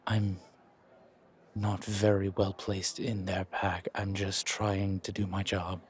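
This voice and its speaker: A man playing a nervously polite, dutiful low-ranking driver in an audio roleplay, speaking hesitantly and with formal deference. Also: flat delivery, slow. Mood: apologetic, firm.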